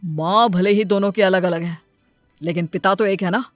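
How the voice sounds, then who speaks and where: A woman's voice: lowish voice, steady tone, normal speed, dry recording, soft background music, close to an everyday voice. A woman voicing a caring father of two young sons in a cartoon moral story, earnest and sorrowful. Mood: disappointed. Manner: tender, earnest.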